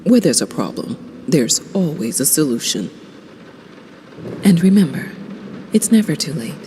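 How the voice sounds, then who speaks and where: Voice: mid-range voice, very animated, measured pace, darkish tone. An actor voicing a reassuring, polished, salesy announcer for a relationship counselling advert inside a video game. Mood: reassuring, upbeat. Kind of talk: scripted advertisement parody in a game. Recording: reverberant hall, faint background noise.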